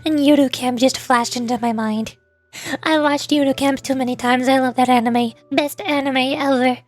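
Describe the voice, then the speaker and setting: A woman's voice: high voice, fairly even intonation, moderate pace, soft background music. A woman streamer giving chatty, casual commentary as she plays a game. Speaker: streamer, VTuber.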